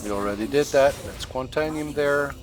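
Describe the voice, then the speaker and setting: A man from the Netherlands with a Dutch accent, calmly explaining step by step while playing a game for a tutorial. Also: mid-range voice, normal speed, background noise. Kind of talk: gameplay tutorial commentary. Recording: voice over game sound and music.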